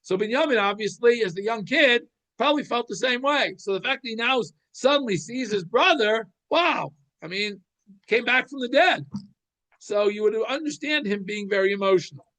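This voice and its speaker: A man with a notably high-pitched voice teaches an informal Torah class. He explains the text like an animated storyteller, thinking aloud and circling back over phrases as he goes. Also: normal speed. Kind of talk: informal religious shiur, explaining scripture.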